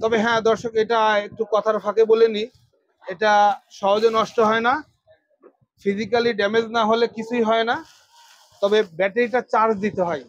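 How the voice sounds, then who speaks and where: A man's voice: high-pitched, little pitch movement, moderate pace, pauses often, no room sound, muffled recording. A man with a Bangladeshi accent presenting shop products to viewers, repeating phrases for emphasis. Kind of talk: product demonstration sales pitch.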